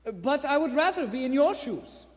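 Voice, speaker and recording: A man's voice: very high voice, sing-song, normal speed. A man playing a kindly, sly old shopkeeper with courteous, wordy speech in a live radio play. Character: kindly old bird-shop keeper, secretly a bomb maker. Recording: echoey theater hall.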